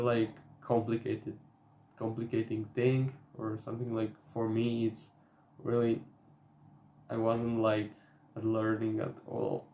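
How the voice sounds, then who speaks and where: A young man from Slovakia with a Slovak accent, a native Slovak speaker, talking casually to camera in English with a halting manner. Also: medium pitch, warm tone, dull audio. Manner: halting, many fillers and restarts. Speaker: vlogger. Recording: echoey room.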